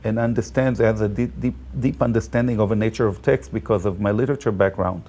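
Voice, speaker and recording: A man from Israel with an Israeli accent and Hebrew as his first language, talking as a guest and tour guide in a halting way, full of fillers and restarts. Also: fairly low pitch, fairly even intonation, dark tone. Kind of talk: casual conversation.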